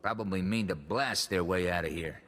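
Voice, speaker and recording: Terse, low-voiced colonel. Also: male voice, normal speed, fairly bright tone. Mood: matter-of-fact. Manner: terse, matter-of-fact. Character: no-nonsense male military colonel, plain-spoken and steady. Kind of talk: video game cutscene acting. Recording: long hall reverb.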